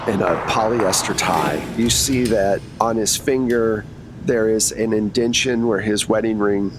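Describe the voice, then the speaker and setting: A man's voice: lowish voice, unhurried, heavy background noise. A man hosting and narrating a tabletop game in a conversational style as game master.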